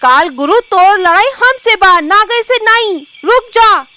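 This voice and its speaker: A woman voicing a furious young shape-shifting serpent woman in an animated fairy tale, indignant and commanding. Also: very high voice, measured pace, voice pushed up, full character voice. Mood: furious, defiant. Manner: indignant, commanding threats and pleas.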